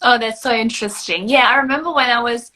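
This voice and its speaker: Bright-voiced Russian-born woman. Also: a little high, fast. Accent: mixed American and British, Russian traces. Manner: hesitant, many "um" and "uh". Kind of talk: casual podcast chat.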